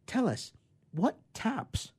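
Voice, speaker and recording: Man doing a little storybook girl, timid, earnest, plaintive, high-pitched, animated. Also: extremely slow, husky, heavily acted. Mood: pleading. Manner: plaintive, eager.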